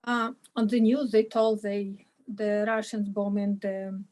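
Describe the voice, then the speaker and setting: A woman's voice: mid-range voice, level tone, slow, darkish tone. A middle-aged woman from Ukraine with a Ukrainian accent, talking informally as an interview guest in choppy, non-native English. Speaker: interview guest, aid volunteer. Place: indoor room on a video call.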